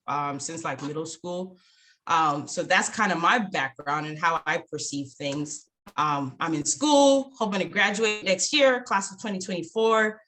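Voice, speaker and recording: A young woman from Zimbabwe leads an online finance workshop in a relaxed, chatty way. Her voice sits a little low and she fills pauses with easy ums. It sounds like a video call. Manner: relaxed, chatty, many fillers. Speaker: workshop presenter. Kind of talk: casual online finance talk.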